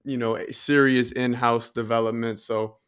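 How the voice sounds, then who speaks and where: A man co-hosting a podcast in casual discussion, measured and tentative as he thinks out loud. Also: mid-range voice, little pitch movement, clear, resonant tone. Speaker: podcast co-host.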